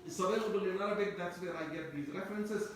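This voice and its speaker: A man's voice: sits high, quick pace. Male Turkish-native lecturer, rambling explanatory delivery, distant mic, roomy echo, faint background hiss. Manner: discursive, repeats and restarts phrases. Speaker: Quran teacher. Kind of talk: tafseer lecture. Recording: echoey room, mic at a distance.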